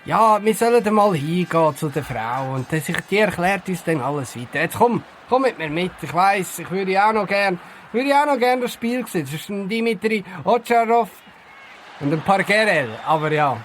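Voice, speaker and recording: A man's voice: high-pitched, lively intonation, moderate pace, a little hiss or hum. A man playing a cocky, talkative police detective in an audio drama, with a Swiss German accent and a rambling, self-important manner. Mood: flustered, rambling. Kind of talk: amateur audio drama acting.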